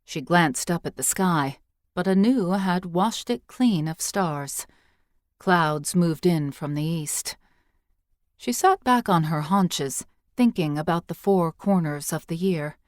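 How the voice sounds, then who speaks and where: A woman reading scripted fiction as an audiobook narrator, with measured, expressive storytelling. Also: fairly low pitch, no room sound, studio quality.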